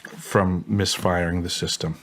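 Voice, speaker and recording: A man's voice: sits low, level tone, a little slow, slight background noise. A man speaking as an interview guest, giving assertive testimony in formal, technical wording. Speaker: interview guest, former contractor.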